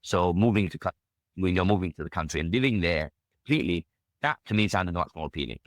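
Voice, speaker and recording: British guy on a podcast, deep voice, talks quick, casual n emphatic, keeps dropping you knows. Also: expressive, no echo, studio quality. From United Kingdom. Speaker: podcast guest. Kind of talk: casual personal storytelling.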